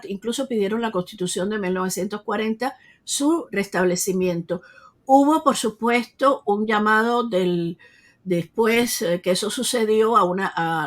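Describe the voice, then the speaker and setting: A woman, answering an interviewer earnestly, with frequent hesitations and restarts. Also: medium pitch. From Cuba. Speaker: interview guest.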